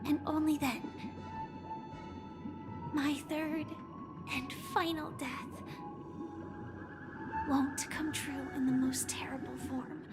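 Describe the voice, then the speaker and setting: A woman playing a gentle, solemn, resolute young female fighter in a video game, speaking in a measured, earnest way. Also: high-pitched, level tone. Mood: solemn, resigned. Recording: music under the voice.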